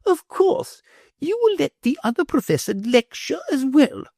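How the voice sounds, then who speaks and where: A storybook reader voicing a haughty, gushing grand lady in a high, lofty voice. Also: very animated, unhurried, a little mellow, no room sound, studio quality, exaggerated voice.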